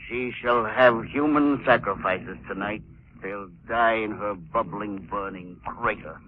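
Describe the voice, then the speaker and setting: An actor playing a ruthless, commanding villain with theatrical menace, in 1940s radio drama style. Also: male voice, on the low side, measured pace, background noise, close to an everyday voice. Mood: scheming, menacing. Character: ruthless villain who rules islanders by fear. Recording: dull 1940s radio recording.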